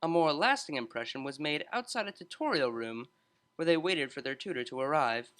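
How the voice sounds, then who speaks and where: Lively, bright-voiced male storyteller. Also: a little high, brisk. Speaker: audiobook narrator. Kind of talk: scripted story narration.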